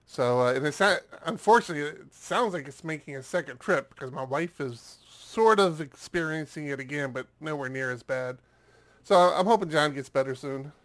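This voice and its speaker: A man's voice sits a touch high for him. He is stuffed up from a recent cold, and he talks in a loose, rambling way, stumbling over repeated words as he co-hosts a casual chat show. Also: expressive, normal speed, fairly bright tone. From United States. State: congested, getting over a cold. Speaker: podcast co-host.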